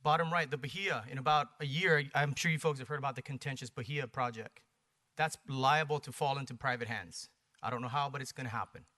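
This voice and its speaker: An American man making his case at a public meeting. His voice sits at a middle pitch with a bright, forceful edge, and he argues in short, emphatic phrases, often putting questions to his listeners. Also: brisk. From Hawaii, United States. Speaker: presenter for a paddling community. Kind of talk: persuasive public presentation. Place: planning commission meeting.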